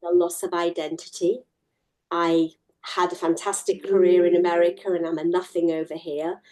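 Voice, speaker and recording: A woman's voice: fairly low pitch, little pitch movement, moderate pace, a little mellow. A woman who works in mental health, explaining her field steadily during a group discussion.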